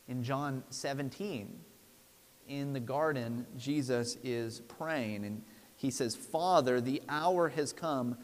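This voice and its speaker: A man in his thirties preaching a sermon from a church pulpit in an earnest, emphatic, deliberate way. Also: medium pitch. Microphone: lapel mic. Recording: long hall reverb.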